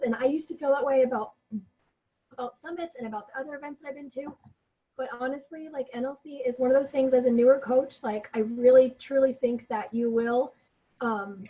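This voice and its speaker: A woman's voice: fairly high pitch, mellow, breathy edge, low-quality recording. A woman losing her voice, co-hosting a team video call with upbeat, encouraging talk full of fillers. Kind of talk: casual motivational team talk.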